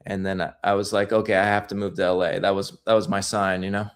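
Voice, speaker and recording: A man's voice: sits low, little pitch movement, fast talker. A man being interviewed as a guest filmmaker, telling his stories in a casual, rambling way with lots of restarts.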